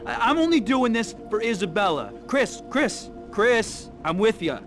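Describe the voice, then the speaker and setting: An actor playing an excitable, self-important resistance fighter in a video game, blustering and stammering as he talks. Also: sits high, unhurried, over music, exaggerated voice. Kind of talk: video game cutscene acting.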